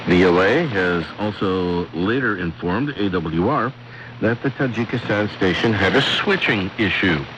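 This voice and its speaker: A man's voice: sits low, lively intonation, measured pace. A man hosting a radio program, reading a scripted report over a shortwave broadcast. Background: radio static and noise.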